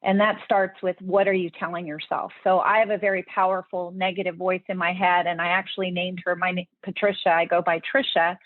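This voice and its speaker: A woman's voice: lowish voice, level tone, brisk, few pauses, no echo. A woman answering interview questions in an earnest, explanatory way, often restarting her sentences. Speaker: podcast guest, leadership speaker. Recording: tinny phone-like remote line, dry.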